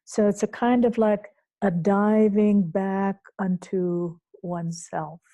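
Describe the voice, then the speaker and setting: A woman teacher leading a guided meditation in a soothing, unhurried way, leaving long pauses between phrases. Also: medium pitch, level tone, dark tone, lo-fi sound. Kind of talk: guided meditation, spoken off the cuff to a group.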